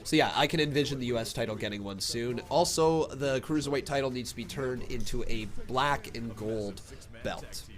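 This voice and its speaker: A man with a cold and a plugged-up voice, chatting casually through a live reaction stream and breaking into excited play-by-play. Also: medium pitch, normal speed. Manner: chatty, thinks aloud, bursts into excited play-by-play. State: congested from a cold.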